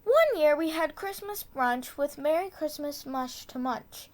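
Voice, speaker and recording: A woman reading a children's tongue-twister book aloud, deliberately, with casual asides and the odd corrected stumble. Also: sits high, expressive, a little mellow. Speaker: storybook reader.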